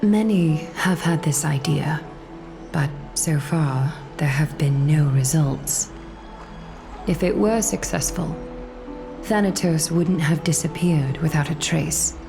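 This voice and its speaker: Game role: solemn woman leader, low register, grave and formal, burdened by duty, music behind. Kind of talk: dramatic video game voice acting.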